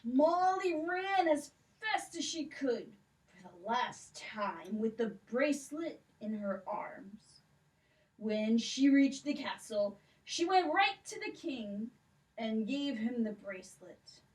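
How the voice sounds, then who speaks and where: A woman, a children's librarian, narrating a puppet show story in a lively, expressive way. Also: a little high, takes it slowly, distant mic, rough recording. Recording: voice from off the mic.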